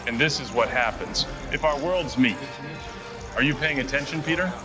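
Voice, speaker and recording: An actor playing an earnest, brainy and anxious scientist in a motion comic, talking in precise technical terms. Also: male voice, mid-range voice, moderate pace, lightly acted. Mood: earnest, explaining. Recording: loud music behind.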